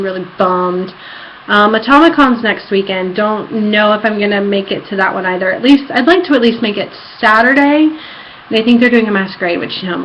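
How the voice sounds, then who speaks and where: A woman chatting casually in a rambling personal vlog recorded at home, with a giddy fangirl enthusiasm. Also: medium pitch. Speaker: vlogger. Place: apartment room. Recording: dull lo-fi home recording, background noise.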